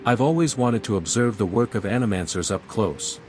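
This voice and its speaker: A resolute imperial envoy, hero of a fantasy video game, played by a man. He has a fairly low voice and talks in a cool, terse, measured way. Music is clearly audible beneath him. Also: expressive, a little mellow, close to an everyday voice. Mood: cool, composed.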